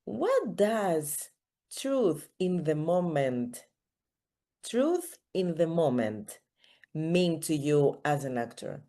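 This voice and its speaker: Unhurried woman host. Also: low-pitched, big pitch swings, warm tone. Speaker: interview host. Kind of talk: casual interview conversation.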